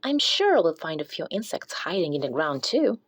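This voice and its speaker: A woman's voice: fairly low pitch, sing-song, normal speed, no echo. A woman voicing a kindly, practical male squirrel from a storybook, grave and caring. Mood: friendly. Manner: grave, matter-of-fact, caring.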